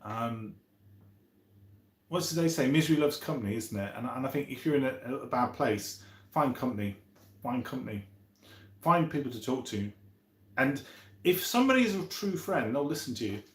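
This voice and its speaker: Male streamer, 50s, very lively pitch, rambling and chummy chat, echoey room. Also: normal speed, long pauses. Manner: rambling, chummy, thinking aloud. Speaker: live stream host. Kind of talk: casual chat, reading out and answering viewer comments. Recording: roomy echo.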